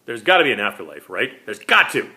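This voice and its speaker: A man's voice: lowish voice, big pitch swings, fast talker, heavily acted. A man voicing a lonely, despairing last vampire, a polite predator, in a dramatic story reading. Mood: desperate pleading. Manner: pleading, rising into manic shouting.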